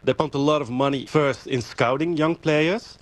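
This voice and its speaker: A man's voice: fairly high pitch, normal speed, no room sound. Moroccan-born man, thoughtful interview guest. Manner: thoughtful, hesitant with many fillers. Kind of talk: interview answers.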